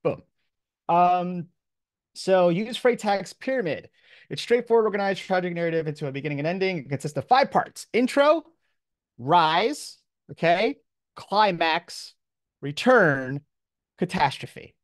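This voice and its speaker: A man's voice: high-pitched, expressive, a little slow, dry recording, studio quality. A man giving an informal lecture as a professor, talking in a casual, off-the-cuff, conversational way.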